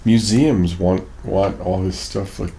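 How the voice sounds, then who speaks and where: A man's voice: low voice, slow, vocal fry, warm tone, slight background noise. A man hosting a podcast in an informal, conversational style. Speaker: podcast host. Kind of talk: casual chat.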